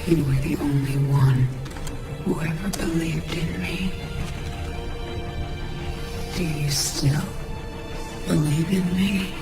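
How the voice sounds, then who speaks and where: A remorseful female warlord in a sci-fi game. She pleads slowly and haltingly, in an intimate tone. Dramatic music sits clearly behind her. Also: sits low, fairly even intonation. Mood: pleading, tender.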